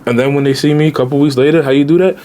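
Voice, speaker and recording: A man in his late twenties, a travel vlogger giving casual, animated budget travel advice straight to viewers, with slang. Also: fairly high pitch, racing. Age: 20s.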